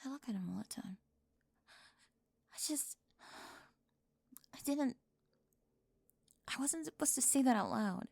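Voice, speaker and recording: A woman playing a flustered, melodramatic high school mean girl with a secret crush, stammering defensively in an ASMR audio roleplay. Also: lively intonation, a little slow, gravelly, long pauses, a little mellow, no echo, full character voice. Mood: flustered, hushed.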